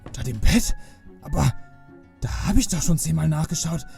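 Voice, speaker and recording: A man's voice: on the high side, lively intonation, normal speed, creaky, darkish tone, soft background music. A man playing a distraught Santa Claus, muttering anxiously to himself in a family Christmas audio play. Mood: fretful, desperate. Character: distraught, fretting Santa Claus who lost his magic boots.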